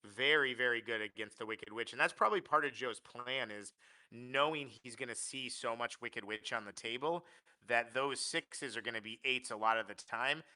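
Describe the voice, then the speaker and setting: A man's voice: mid-range voice, normal speed, bright, forceful tone, rough recording. A man giving live play-by-play commentary on a card game tournament, analytical and often restarting his phrases.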